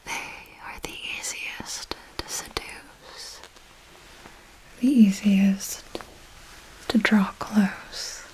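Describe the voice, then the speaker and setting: A woman playing a refined, predatory vampire mentor in an ASMR roleplay, whispering in a slow, measured, intimate way. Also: mid-range voice, steady tone, poor audio. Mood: coolly instructive. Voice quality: breathy whisper. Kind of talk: ASMR roleplay, scripted monologue.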